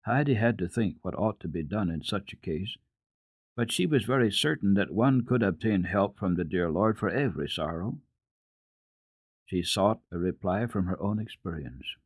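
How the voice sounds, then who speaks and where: A man with a fairly low, husky voice reads a children's classic as a radio storyteller. His delivery is measured, earnest and dramatic, and the recording is dry and close. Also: lively intonation, no room sound. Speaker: storyteller, radio program host. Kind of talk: dramatic children's book reading.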